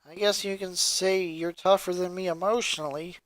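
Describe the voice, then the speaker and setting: A man's voice: high-pitched, fairly even intonation, moderate pace, a bit bright, dry recording. A man voicing a tough but caring tomboy girl in a story reading, earnest and easily embarrassed. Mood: earnest, tender.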